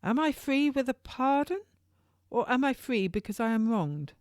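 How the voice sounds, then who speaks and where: A woman's voice: a little high, expressive, moderate pace, a little mellow, no room sound, clean studio sound, voice pushed up, natural voice. Woman voicing a weary, distrustful female prisoner. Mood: wary questioning. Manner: archaic speech, wary. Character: weary, distrustful imprisoned woman in historical tale. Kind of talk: audiobook character dialogue.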